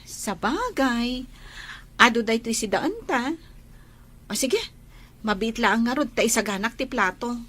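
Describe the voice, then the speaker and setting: A woman acts a kind young village woman with an Ilocano accent in an audio drama. Her voice sits very high and she takes her words slowly, sounding modest and friendly. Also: animated, fairly bright tone. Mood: friendly, obliging. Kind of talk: amateur Ilocano radio-style comedy drama acting.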